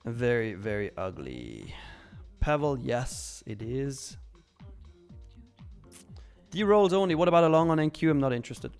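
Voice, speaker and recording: Male livestream host from Switzerland, mid-pitched, informal and frank, chatting to viewers over faint background music. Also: big pitch swings, measured pace, vocal fry, long pauses, darkish tone, clean tone. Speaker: live trading stream host. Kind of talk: casual livestream chat answering viewers and commenting on trades.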